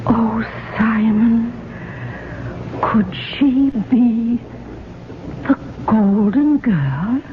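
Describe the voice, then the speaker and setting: A doting genteel ghost wife, swoopy theatrical voice, slow and coaxing, thin old radio sound. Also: female voice, on the high side, animated, phone-quality sound, noisy background. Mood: tender wonder. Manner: theatrical, sighing, sweetly coaxing and deliberate. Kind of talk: 1970s radio drama acting.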